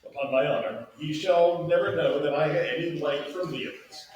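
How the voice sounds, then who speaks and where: A man playing an aging, scheming duke and exasperated father in a staged Shakespeare reading, with a theatrical, halting, drawn-out delivery. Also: a little high, darkish tone, natural voice. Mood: guarded. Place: staged reading in a large echoey room. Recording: echoey hall from far off, faint noise.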